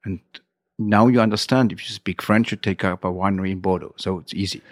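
A middle-aged man with a German accent, telling his story as an interview guest in halting, non-native English phrasing. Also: sits low, steady tone, no echo, studio quality.

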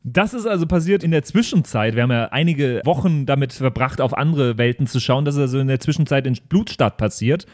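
A man's voice: mid-range voice, animated, brisk, few pauses, darkish tone, dry recording, clean studio sound. A man speaking as a podcast host in casual, chatty style.

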